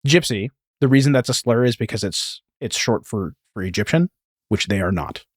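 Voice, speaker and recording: A man's voice: medium pitch, animated, moderate pace, slightly rough, no room sound, clean studio sound. A man hosts a podcast in a mid-range voice with very little room sound. He explains history and film plots informally, like casual storytelling. He often wanders into asides before picking the thread back up. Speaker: podcast host.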